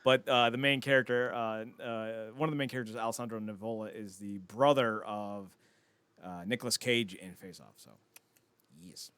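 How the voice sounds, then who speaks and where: A man's voice: fairly low pitch, fairly even intonation, normal speed, a bit bright, smooth, dry recording. A man chatting casually as a podcast host, rambling, opinionated and freely profane. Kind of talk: casual podcast chat about films and entertainment news.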